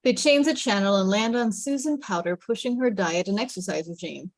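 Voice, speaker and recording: A woman's voice: mid-range voice, fairly quick, dry recording. A woman reading scripted stage directions as narration at a table read.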